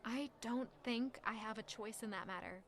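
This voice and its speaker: A woman's voice: on the high side, normal speed. An actress playing a stubborn, guarded young servant woman in a castle audio drama, curt and sarcastic beneath formal politeness. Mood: defensive, curt. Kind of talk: audio drama acting with first-person narration.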